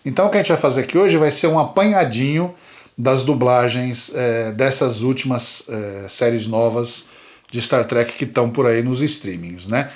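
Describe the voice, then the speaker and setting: A Brazilian man from Brazil co-hosting a casual podcast chat, animated and emphatic, often repeating words. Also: medium pitch, moderate pace. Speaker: podcast co-host.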